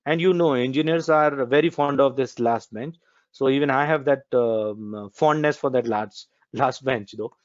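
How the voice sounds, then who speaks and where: Casting: male corporate trainer from India, halting, filler-heavy delivery, dry and dull sound. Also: medium pitch, no room sound. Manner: hesitant, many fillers and restarts. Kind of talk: recorded training lecture.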